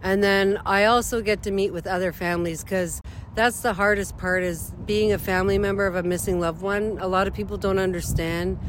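A woman's voice: medium pitch, fairly even intonation, fairly quick, slight background noise, rough recording. Woman, advocate, talks from the heart, outside by a street with faint cars going by. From Northern Ontario, Canada. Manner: heartfelt, plain-spoken, with frequent fillers. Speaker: advocate interviewed by a reporter. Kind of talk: earnest conversational interview. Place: outdoors beside a street.

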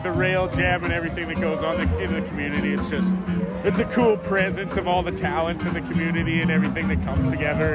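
High-voiced man over loud festival music. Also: poor audio. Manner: enthusiastic, rambling. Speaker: festival attendee. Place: outdoors at a street festival.

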